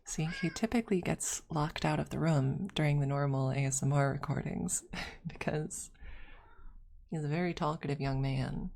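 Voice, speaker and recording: A woman chatting casually on an ASMR livestream, soft-spoken and halting, close to a streaming microphone. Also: low-pitched, vocal fry.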